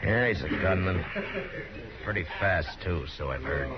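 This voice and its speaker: A man playing a calm, commanding frontier marshal with a dry, unhurried manner in a 1950s radio Western. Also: deep voice. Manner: dry, laconic, unhurried authority. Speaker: lead actor. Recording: muffled 1950s radio recording, crowd behind.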